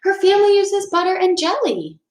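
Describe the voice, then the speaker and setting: A woman's voice: very high voice, very animated, fairly quick, voice pushed up. A woman voicing an earnest, sensitive little schoolgirl in a storybook read-aloud. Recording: roomy, echoing indoor sound.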